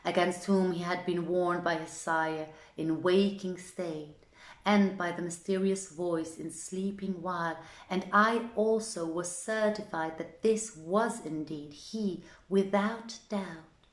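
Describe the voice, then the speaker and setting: Lowish-voiced woman storyteller, echoey room. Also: steady tone, slow. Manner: theatrical, formal archaic phrasing. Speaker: storyteller, reader. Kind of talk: scripted literary narration of an old tale. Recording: echoey, roomy sound.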